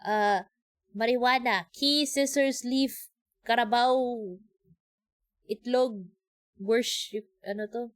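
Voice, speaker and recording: A woman's voice: fairly high pitch, slow pace, pauses often. A Filipino-accented woman on a casual gaming livestream, playful and thinking aloud as she plays. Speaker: game streamer. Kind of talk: casual live gaming stream, reading game dialogue aloud. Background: game music.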